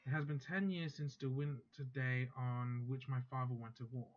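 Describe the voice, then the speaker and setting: A young man reading visual novel text aloud for a playthrough video, halting now and then to check how to say a word. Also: medium pitch, steady tone, muffled recording. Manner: halting read-aloud, stops to check pronunciation. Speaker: gaming YouTuber. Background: faint background music.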